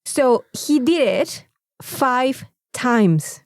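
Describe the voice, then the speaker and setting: A woman with a Mexican accent telling an anecdote in a casual, animated podcast chat, swearing freely. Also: sits high, very slow, no echo, clean studio sound. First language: Spanish. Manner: animated storytelling with frequent swearing. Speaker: podcast co-host.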